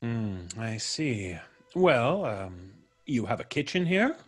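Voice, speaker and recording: A husky, quietly menacing radio-mystery visitor. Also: male voice, mid-range voice, very animated, soft background music, full character voice. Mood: probing, courteous. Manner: deliberate, musing with frequent hums, needling. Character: polite, probing, quietly menacing male visitor. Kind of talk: old-time radio mystery acting.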